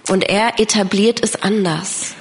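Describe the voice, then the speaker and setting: A woman's voice: medium pitch, fairly even intonation, measured pace. A woman interpreting a sermon live, phrase by phrase.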